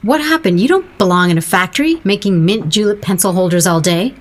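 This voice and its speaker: A woman's voice: medium pitch, fairly quick, natural voice. An actress playing a frank, incredulous woman friend in an audio drama, questioning what she hears.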